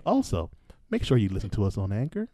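A man's voice: on the low side, wildly swooping pitch, fast, dark tone. A man hosting a podcast in casual, joking group chat, on a close mic. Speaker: podcast host. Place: small room at home, indoors.

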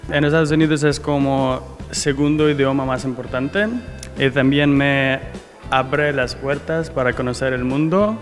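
A man's voice: medium pitch, fairly even intonation, unhurried, faint music behind. Young man in a reverberant hallway. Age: young adult. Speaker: student. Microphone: handheld reporter mic.

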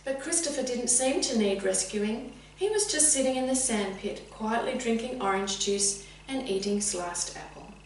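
A middle-aged woman reading a children's story expressively and deliberately, addressing young listeners directly. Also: on the high side, expressive. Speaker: teacher reading a picture book. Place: indoors, sitting room with bookcase. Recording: very echoey room, distant mic, faint hum.

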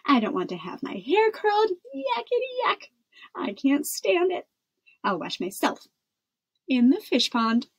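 Woman voicing a whiny, defiant little princess, high-pitched. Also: very animated, moderate pace, breathy edge, exaggerated voice. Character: loud, stubborn, rebellious young princess girl. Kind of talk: storybook character voice.

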